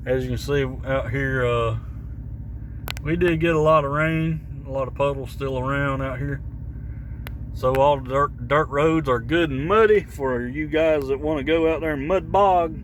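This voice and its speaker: A man giving a casual, rambling, folksy hands-on demo of gear, recorded on a camera mic in or beside a vehicle outdoors. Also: fairly high pitch. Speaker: vlogger demonstrating radio gear. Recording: clear background noise, car and outdoor sounds.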